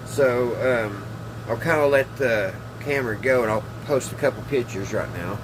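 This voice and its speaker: A man's voice: mid-range voice, normal speed. A man hosting a casual hobby workbench video, rambling and hesitant as he thinks aloud. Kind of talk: casual workbench vlog about old radios. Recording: clearly noisy room, steady hum.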